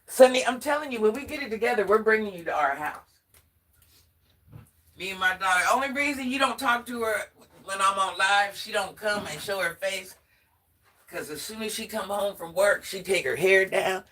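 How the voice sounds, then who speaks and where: An older woman with a deep voice, chatting casually on a livestream and talking straight to her viewers. She sounds stuffy from allergies. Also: lively intonation, moderate pace, a bit bright, a little hiss or hum. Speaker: livestream host.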